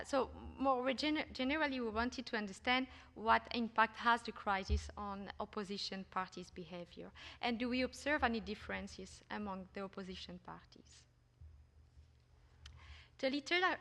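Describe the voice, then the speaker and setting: A woman's voice: on the high side, fairly bright tone, long reverb tail. A woman presenting her research paper at an academic conference, speaking hesitantly with many fillers and restarts. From Belgium. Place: conference hall.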